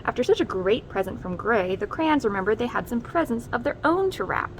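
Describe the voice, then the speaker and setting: A woman presenting a read-aloud, narrating a children's storybook expressively with measured emphasis on names and key phrases. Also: on the high side, sing-song, a little hiss or hum, low-quality recording.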